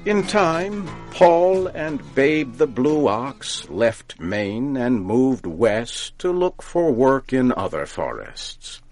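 A man narrating a folk tale from a script, deliberately and with careful enunciation, as if for learners. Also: medium pitch, sing-song, darkish tone, faint music behind. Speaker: storyteller, narrator.

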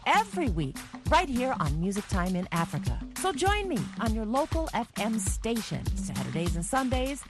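A woman's voice: on the high side, sing-song, moderate pace, few pauses. A woman radio host reading an upbeat scripted promo. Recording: promo with loud music underneath.

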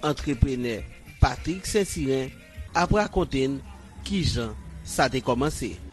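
A man reading a news report as voice-over in a measured way. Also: medium pitch, expressive, soft background music.

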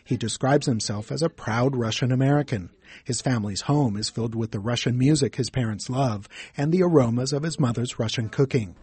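A man reporting a news feature in scripted, measured narration. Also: medium pitch, a little mellow, husky.

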